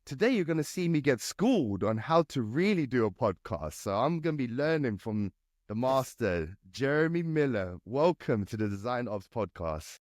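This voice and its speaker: A man's voice: mid-range voice, very animated, moderate pace, no echo, studio quality. A man hosting a podcast, speaking in a friendly, teasing way with some hesitation. His voice is slightly nasal from a cold. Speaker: podcast host.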